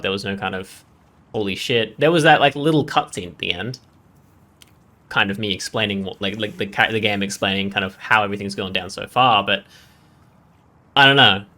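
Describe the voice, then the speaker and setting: A man's voice: lowish voice, sharp tone. A man giving casual, off-the-cuff game commentary as a channel host, rambling as he thinks aloud and often checking in for agreement.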